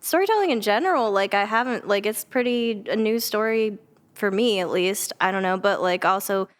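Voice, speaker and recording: A woman's voice: medium pitch, normal speed. A woman chatting casually as a podcast guest in an informal, giggly, tentative way. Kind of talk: casual chat.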